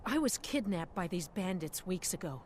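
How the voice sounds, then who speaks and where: A woman's voice: on the low side, sing-song, moderate pace, slight background noise. A pleading lost woman. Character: frightened lost woman escaped from bandits. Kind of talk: video game voice acting. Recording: game audio with loud music.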